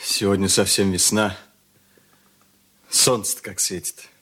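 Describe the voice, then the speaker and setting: An actor playing a brooding, wounded and defiant schoolboy son in a Soviet radio drama, earnest and bitter in manner. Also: male voice, deep voice, fairly even intonation, measured pace, fairly bright tone. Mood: subdued, melancholy.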